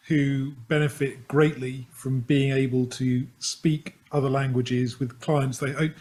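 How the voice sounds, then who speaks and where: A man's voice: mid-range voice, darkish tone. Earnest family law barrister, a man. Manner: earnest, considered. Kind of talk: panel discussion answer.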